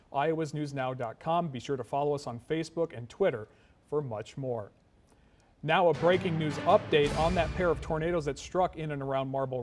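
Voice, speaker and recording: A man's voice: on the high side, darkish tone. An American man anchoring a local TV newscast in a scripted broadcast style. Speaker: news anchor. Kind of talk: scripted broadcast news delivery.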